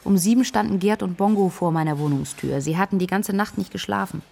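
Husky, creaky worried young woman. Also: mid-range voice, brisk, warm tone. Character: worried, candid young woman, the missing woman's best friend. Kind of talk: interview-like radio drama narration.

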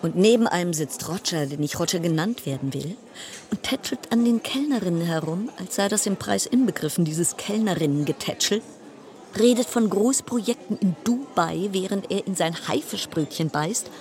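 A woman playing a wry, chatty cruise passenger travelling alone, musing with dramatic pauses in a radio play monologue. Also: lowish voice, animated, a little mellow. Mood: wry, exasperated.

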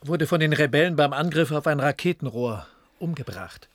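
An actor playing a tense, bitter officer under siege in a science-fiction radio play. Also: male voice, on the high side, animated, normal speed, no room sound, natural voice. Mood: alarmed, bitter.

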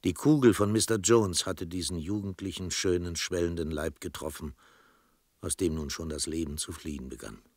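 A very low male voice, recorded dry and close to echo-free. He narrates a literary drama from a script, with a grave, measured delivery. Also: a little mellow.

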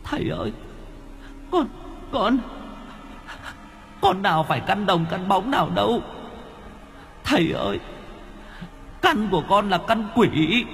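A man doing a terrified young woman burning in spirit fire, her high voice soaring and breaking as she wails for help. Her cries hang in a long hall reverb with faint music underneath. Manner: wailing, pleading, sobbing. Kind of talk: audio horror story.

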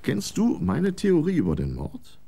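Ein Schauspieler spielt einen älteren, wichtigtuerischen Fernsehchef, der weitschweifig redet. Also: male voice, on the high side, huge pitch swings, a little slow, creaky, mellow, slightly rough, heavily acted. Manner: self-important, long-winded. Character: pompous older male TV executive, old republican.

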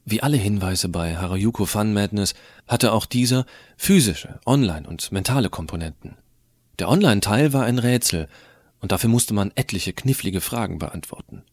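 A man playing a tech-savvy, rebellious high school student who narrates his story in an explanatory, colloquial way. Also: animated, normal speed, no room sound. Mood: conspiratorial, matter-of-fact. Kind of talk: scripted audio drama narration.

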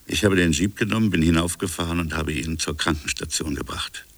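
A man playing a reflective French colonial official in a literary radio drama, recalling a buried affair in musing, fragmentary phrases with a gravelly, husky voice. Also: low-pitched, a little fast, fairly bright tone, no echo. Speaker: narrator and protagonist.

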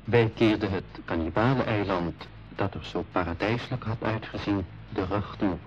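An actor narrating a radio adventure as one of its travellers, in measured, literary storytelling. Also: male voice, fairly low pitch, faint music behind, natural voice. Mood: brisk, relieved. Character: composed male adventurer recounting his own tale. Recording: dull radio recording with clear noise.

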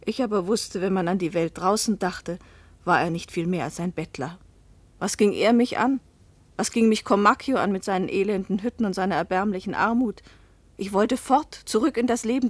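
Woman as restless fisherman's wife, first-person radio drama narration, middle pitch, dry close recording. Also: brisk, dry recording, close to an everyday voice. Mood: bitter, determined. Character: restless, self-willed fisherman's wife recounting her past.

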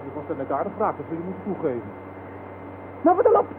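An actor playing a menacing, cynical soldier in a radio play, taunting and offhand by turns. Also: male voice, a little high, huge pitch swings, fast. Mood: threatening, taunting. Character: menacing, cynical male soldier interrogating his superior. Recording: noisy, thin phone-like old radio recording.

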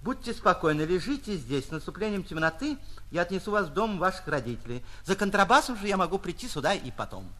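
A man's voice: high-pitched, sing-song, fast talker, sharp tone, voice pushed up, heavily acted. Man acting pompous gallant musician, swooping and courteous. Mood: self-satisfied reassurance. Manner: florid, over-courteous, imploring gallantry. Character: pompous, gallant, self-satisfied male double-bass musician.

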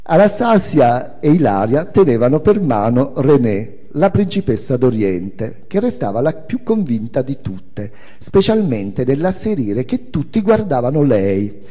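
An older man gives a theatrical reading of a memoir in a reverberant hall. His voice is mellow and mid-pitched, with wide, expressive swings in intonation. He shapes each phrase with deliberate pauses and emphasis. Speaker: author and performer reading own memoir on stage.

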